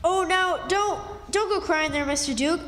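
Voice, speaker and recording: Woman acting a high-voiced, earnest backwoods boy. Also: normal speed, fairly bright tone. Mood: earnest, sympathetic. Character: kindly, naive backwoods boy, eager to please. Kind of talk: old-time radio drama acting, performed live. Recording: echoey, noisy hall, distant stage sound.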